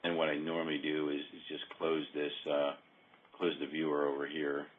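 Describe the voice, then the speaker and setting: Deep-voiced man on a tinny line. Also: monotone. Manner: halting, hesitant explaining. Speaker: software demonstrator. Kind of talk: informal software walkthrough. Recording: dull phone-like conference-call audio.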